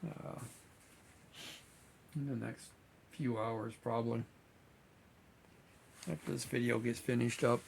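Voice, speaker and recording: A man, hosting his model-building channel in a relaxed, rambling way, slightly hoarse as he is losing his voice. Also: medium pitch, takes it slowly, pauses often, slight background noise. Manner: relaxed, rambling, many fillers. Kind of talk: casual chat to viewers.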